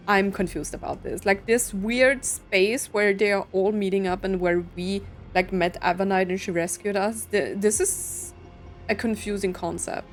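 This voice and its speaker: A woman giving casual let's-play commentary, thinking aloud in a puzzled, speculative way. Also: medium pitch. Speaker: gaming content creator playing and reacting to a story quest.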